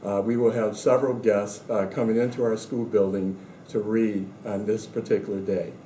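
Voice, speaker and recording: A man on a school staff reading aloud to children in a measured, deliberate way. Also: on the low side, level tone, dark tone. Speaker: school administrator introducing and reading a story. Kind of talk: scripted read-aloud for children. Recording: roomy echo with faint background noise.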